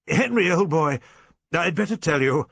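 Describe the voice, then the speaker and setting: A man voicing a shaken, proud old English squire in an audiobook novel, gruff, halting and old-fashioned. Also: medium pitch, lively intonation, no echo, pitched above the performer's own voice. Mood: faint, insistent.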